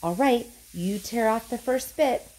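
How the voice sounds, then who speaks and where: A woman reads as a storybook grandfather, old, sly and easily excited. He talks like a nervous conspirator, slipping between hushed confiding and eager spluttering. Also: a little high, a little slow, a little hiss or hum, close to an everyday voice, low-quality recording.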